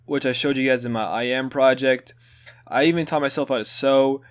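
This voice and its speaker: A young man whose first language is Hungarian talks through his school video project. His voice sits at an ordinary middle pitch, and he speaks loosely and casually, dropping in little fillers as he goes. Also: a little fast, smooth. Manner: relaxed, conversational, with filler words.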